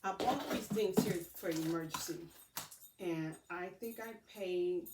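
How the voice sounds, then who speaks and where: A woman giving a casual, halting unboxing chat to her viewers, addressing them in a familiar, homely way as a vlogger. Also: lowish voice, a little mellow. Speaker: vlogger showing purchases. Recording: roomy echo, distant mic, faint noise.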